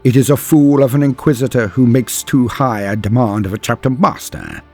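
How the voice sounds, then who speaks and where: A man with a husky voice narrating scripted fantasy lore in a theatrical, portentous manner with deliberate pauses. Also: medium pitch, very animated, a little mellow. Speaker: narrator and host of a lore channel. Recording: music playing behind the voice. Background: background music.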